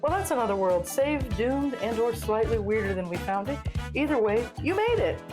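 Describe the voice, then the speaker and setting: A woman's voice: fairly high pitch, very animated, normal speed, over music. A woman hosting a podcast, reading a scripted outro with wry, tongue-in-cheek humor. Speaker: podcast host.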